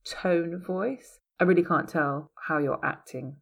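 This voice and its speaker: Gravelly-voiced woman casting director. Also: lowish voice, unhurried, a bit bright. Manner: earnest, emphatic, many restarts and "you know". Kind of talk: conversational interview answers.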